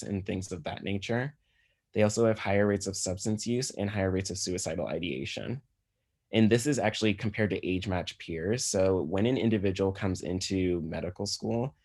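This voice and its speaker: Male physician presenter, American, low register, conversational explanatory lecture. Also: a little mellow.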